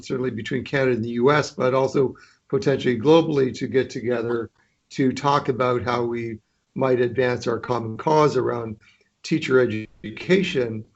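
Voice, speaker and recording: A man speaking on a conference call, hesitant and full of restarts, offering to coordinate with other deans. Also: mid-range voice. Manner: hesitant, many restarts and fillers. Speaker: dean, association member. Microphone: conference line. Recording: dull lo-fi call audio.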